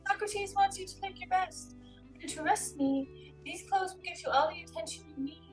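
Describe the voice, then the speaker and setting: Lady doing a seductive persuasive recruiter gal, high voice, coaxing, comedy table read, faint music. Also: moderate pace. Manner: coaxing, reassuring. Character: persuasive, seductive female recruiter for a pickup artist.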